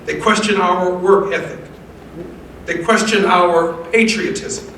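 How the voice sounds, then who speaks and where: A fairly high-voiced American man gives a formal speech in a heavily echoing room, picked up from a distance. His delivery is measured and emphatic, in an oratorical style that leans on repeated phrases like a preacher. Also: fairly even intonation, bright, forceful tone. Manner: measured, emphatic, rhetorical repetition. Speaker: guest speaker delivering remarks. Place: large echoey indoor event room. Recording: very echoey room, distant mic, faint noise.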